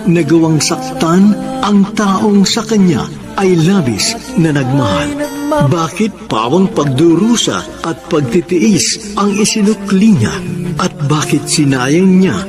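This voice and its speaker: A man's voice: on the high side, lively intonation, takes it slowly. A man narrating a radio love drama as its solemn, sentimental host, in a scripted style. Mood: solemn, earnest. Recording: loud music under the voice.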